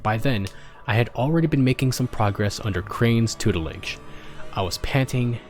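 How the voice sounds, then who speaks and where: A man's voice: medium pitch, normal speed, faint music behind. A man narrating a story as he reads fan fiction aloud, sometimes stumbling and rereading a line.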